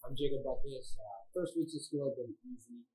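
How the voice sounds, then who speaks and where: A man's voice: mid-range voice, brisk, mellow, rough recording. A young man, a student, casually introducing himself in an interview. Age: young adult.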